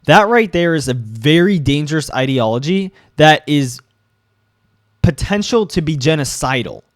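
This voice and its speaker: A young man ranting to camera, sick and a bit congested, halting and restarting as he gets agitated. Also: fairly high pitch, animated, no room sound, clean studio sound. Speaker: vlogger. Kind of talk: ranting commentary.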